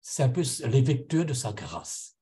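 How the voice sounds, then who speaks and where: A man's voice: medium pitch, very animated, unhurried, a crowd in the background. A middle-aged man from Réunion island giving a personal spiritual testimony at a conference, earnest and reflective, often searching for words and repeating himself as he goes. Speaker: conference panel speaker.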